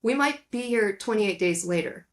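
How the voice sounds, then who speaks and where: A woman's voice: mid-range voice, animated, normal speed. A woman co-hosting a casual film discussion, talking in a playful, jokey way that wanders into digressions. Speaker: podcast co-host.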